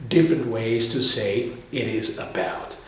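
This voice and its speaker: A man's voice: mid-range voice, measured pace, a little hiss or hum. A man teaching an English lesson to viewers, explaining didactically and repeating key words for learners to say along. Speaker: English teacher and video host. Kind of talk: language lesson to camera. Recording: very echoey, reverberant room.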